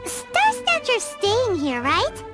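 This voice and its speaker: Tiny perky anime fairy, super high squeaky kid voice, swoopy, loud music behind. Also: female voice, huge pitch swings, takes it slowly, heavily acted. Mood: chatty, curious. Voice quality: squeaky, childlike. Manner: perky, speaks of self in third person. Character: small excitable female fairy companion, childlike and chatty. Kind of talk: anime game voice acting.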